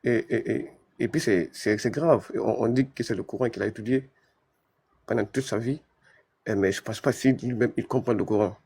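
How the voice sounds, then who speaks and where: A man with a husky, lowish voice, arguing on a live talk stream, tripping over his words and repeating himself as he pushes on. The sound is dry and close. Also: normal speed, a little mellow, no echo. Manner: hesitant, repeats words, stammering restarts. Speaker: debate panelist. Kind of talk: live discussion.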